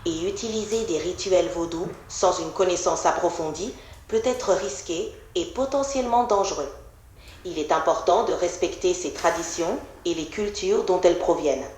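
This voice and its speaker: A computer-generated woman's voice answering as an AI assistant, measured and polite. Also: fairly low pitch, darkish tone, echoey room, slight background noise, poor audio. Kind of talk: calm scripted assistant replies.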